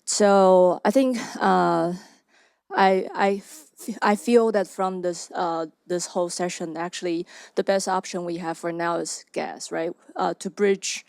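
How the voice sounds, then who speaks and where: A young woman from Taiwan, a graduate student, asks a panel a question hesitantly, pausing to search for words. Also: lowish voice, steady tone, creaky, a little mellow, dry recording, clean studio sound.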